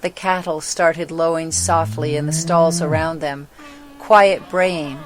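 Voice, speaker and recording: A female voice, set low, reads a children's story. The delivery is scripted, dramatic narration. Some background noise sits behind the voice. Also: steady tone, slow.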